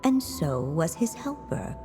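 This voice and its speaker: A woman voicing a gentle storybook narrator in a game, reading a fairy tale softly and unhurriedly. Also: low-pitched, sing-song, unhurried, music in the background.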